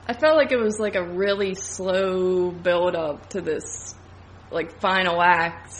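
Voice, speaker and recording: A woman's voice: fairly low pitch, takes it slowly, slight background noise. A woman podcast co-host in a casual film review chat, speaking informally and loosely, with lots of filler "like". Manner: informal, hedging, frequent "like".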